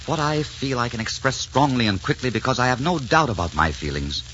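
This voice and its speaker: A man's voice: medium pitch, animated, fairly quick, fairly bright tone, a little hiss or hum, natural voice. An actor playing a suave, ardent French nobleman in a courtly, theatrical 1940s radio romance. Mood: tender, romantic. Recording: muffled old 1940s radio recording.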